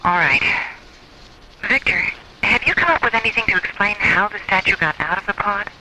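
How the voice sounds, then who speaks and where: A man's voice: high-pitched, lively intonation, normal speed, bright, forceful tone, slight background noise, exaggerated voice, low-quality recording. A man playing a cautious, concerned scientist in a science-fiction radio drama, earnest and a little hesitant. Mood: worried, cautioning. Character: concerned, cautious male scientist reporting grave findings.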